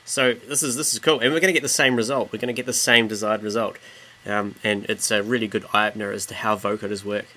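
A man with a New Zealand accent explaining software step by step in an informal, slangy way as a tutorial presenter. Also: on the low side, fast talker. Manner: relaxed, casual slangy explaining. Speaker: tutorial presenter and music producer.

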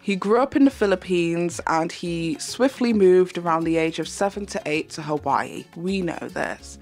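Young woman, English accent, lowish voice, handheld mic, bedroom, explanatory commentary. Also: a little mellow, soft background music. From England. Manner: measured, explanatory. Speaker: YouTube commentator. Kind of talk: commentary think piece to camera.

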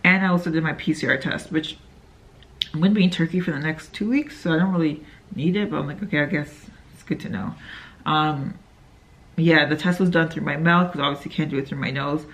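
A woman's voice: low-pitched, a little fast, a bit bright. Young woman, chatty rambling vlog talk, hotel room, phone held close. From Canada. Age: young adult. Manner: rambling, chatty, many fillers and self-corrections. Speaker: vlogger. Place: hotel room, indoors, sitting in bed. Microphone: phone camera held close.

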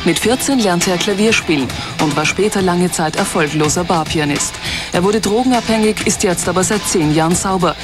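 Low-voiced woman narrating over music. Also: moderate pace. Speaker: documentary narrator. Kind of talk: scripted television narration.

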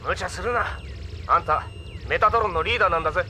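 An actor playing a brash, battle-hardened, cocky pilot with a forceful manner in an anime radio drama. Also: male voice, sits high, quick pace, slight background noise. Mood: loyal, forceful. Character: brash, hardened male combat pilot, cocky, gruffly loyal.

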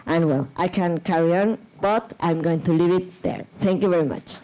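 A woman reading a prepared academic paper at a conference panel, slow and measured with hesitant fillers and restarts, into a desk microphone in a large lecture room. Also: on the low side, warm tone, poor audio.

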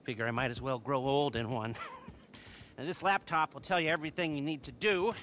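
An actor playing a grumpy, sarcastic middle-aged hacker who has retired from heists. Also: male voice, mid-range voice, steady tone, fairly bright tone, slight background noise, close to an everyday voice. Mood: amused. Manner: whiny, sarcastic, quick to complain.

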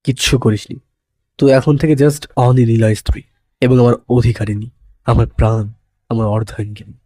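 A man's voice: mid-range voice, steady tone, normal speed, warm tone, no echo, natural voice. A man playing a possessive, determined young groom, firm and devoted, in a dramatized audio love story. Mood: possessive, tender.

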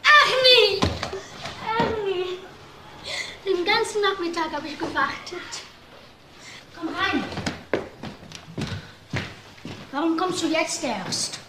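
A woman's voice: extremely high pitch, heavily acted. A woman playing an eager, anxious, devoted young person in a German crime radio play, fussing with short questions. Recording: echoing, noisy room sound.

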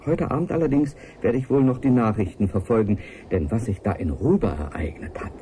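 An actor playing an earnest, lonely scientist who confides in a formal, measured way in a radio-play audio letter. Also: male voice, medium pitch, warm tone, a little hiss or hum, lightly acted. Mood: weary, confiding. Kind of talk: 1980s German radio play monologue.

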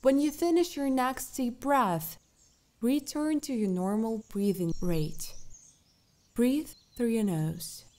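A woman guiding a meditation with scripted instructions, speaking in a calm, soothing, measured way with long pauses. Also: a little high. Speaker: meditation guide.

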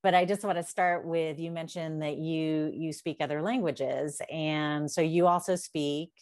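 A middle-aged woman hosting an interview over a video call, asking slow, thoughtful questions. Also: deep voice, fairly even intonation, darkish tone, no room sound, clean studio sound. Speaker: interview host. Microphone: video call with earphone mic.

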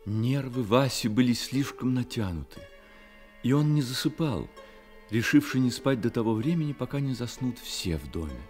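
A man narrating a radio drama as scripted literary storytelling, in a measured manner. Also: medium pitch, lively intonation, a little mellow, faint music behind.

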